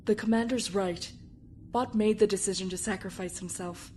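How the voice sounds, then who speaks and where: Casting: actress as calm female admiral, medium pitch, understanding officer, sci-fi anime drama, slight background noise. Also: moderate pace, natural voice. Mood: reassuring. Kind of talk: sci-fi anime parody acting.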